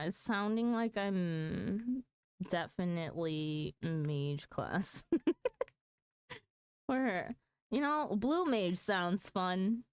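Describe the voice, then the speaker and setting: A woman's voice: lowish voice, clear, resonant tone, no echo. A woman chatting casually on a gaming livestream, thinking aloud in a hesitant way. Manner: hesitant, thinking aloud, mulling over choices. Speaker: game livestreamer.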